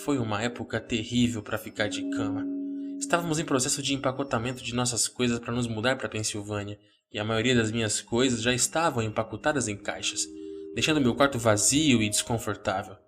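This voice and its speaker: A Brazilian man hosts and narrates a horror podcast. His voice sits at an average male pitch, with music playing faintly behind it. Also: fairly quick. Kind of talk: first-person horror story narration.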